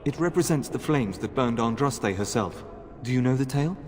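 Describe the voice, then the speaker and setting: Casting: actor as stern, pious fantasy game flame keeper, solemn and curt, mid pitch, hall reverb. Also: male voice, expressive, fairly quick, darkish tone, lightly acted. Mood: solemn, dutiful. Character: stern, devout temple flame keeper absorbed in duty. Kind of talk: fantasy video game dialogue. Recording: long hall reverb, music behind.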